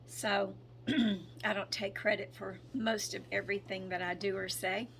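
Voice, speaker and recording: A hoarse woman speaking in a slow, hesitant way, with frequent ums and throat clearing, as she gives a casual spoken update as a spiritual channel. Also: medium pitch, steady tone, fairly bright tone, a little hiss or hum.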